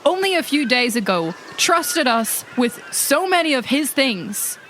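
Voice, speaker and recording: A woman's voice: high voice, very animated, a little slow, a bit bright, a little hiss or hum. A woman playing a tabletop roleplaying game, improvising banter in character.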